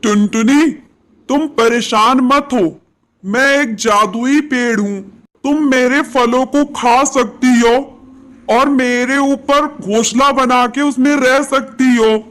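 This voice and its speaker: A man voicing a kindly, protective magical mango tree in a children's cartoon story, reassuring and deliberate. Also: extremely high pitch, fairly bright tone, exaggerated voice.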